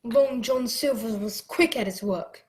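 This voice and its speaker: A woman playing an excitable boy adventurer, narrating a radio drama with dramatic storytelling. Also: a little high, unhurried, noisy background, lightly acted. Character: excitable boy adventurer telling his tale.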